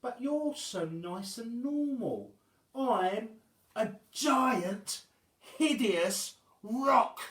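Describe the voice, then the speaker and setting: A man voicing a huge, self-loathing but kindly female goblin monster from a children's storybook, with loud, drawn-out exclamations. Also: very high-pitched, lively intonation, roomy sound, pitched above the performer's own voice, full character voice. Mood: anguished.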